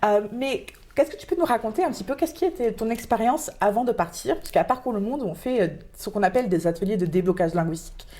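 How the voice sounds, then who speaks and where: Woman co-host, rapid and rambling, restarts a lot. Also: a little high, animated, dark tone. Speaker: podcast co-host. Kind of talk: casual interview chat.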